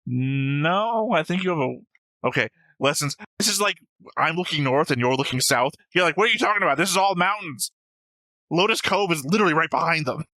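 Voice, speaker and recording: A man with a bright, forward voice recorded very dry. He hosts casually, reading comments aloud and bantering, quick to argue a point with a wisecrack. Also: on the high side, expressive, no room sound. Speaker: reaction show host.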